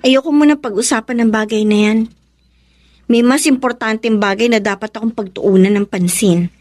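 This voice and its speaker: An actress playing a stubborn, steadfast younger sister in a Filipino radio drama, with an earnest, emotive delivery. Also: female voice, fairly high pitch, normal speed, darkish tone, natural voice. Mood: weary, guarded.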